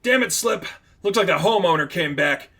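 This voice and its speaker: A man voicing an excitable young male speedster thief with urgent, exclamatory delivery in a story. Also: mid-range voice, moderate pace, slightly rough, pitched above the performer's own voice. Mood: annoyed.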